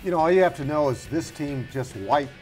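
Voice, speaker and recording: A man's voice: a little high, expressive, a little fast, darkish tone, faint music behind. A man giving conversational sports commentary as a panelist, in a folksy, rambling way.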